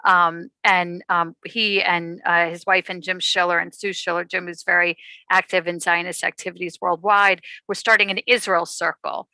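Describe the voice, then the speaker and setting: A woman with a bright, forward voice on the lower side, recorded dry and close on a video call. She is a rabbi hosting an online talk, introducing and prompting in a halting, conversational way. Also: level tone, smooth, no room sound. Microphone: video call microphone.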